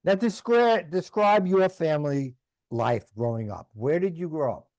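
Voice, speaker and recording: Lively-voiced man asking interview questions. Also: on the high side, a little slow, no echo. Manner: brief, direct questions. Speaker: podcast host, interviewer.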